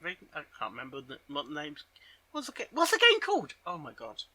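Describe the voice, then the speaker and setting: A man hosting a reaction video, commenting excitably, with loud announcements and halting, muttered asides. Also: on the high side, no echo. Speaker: host of a reaction channel.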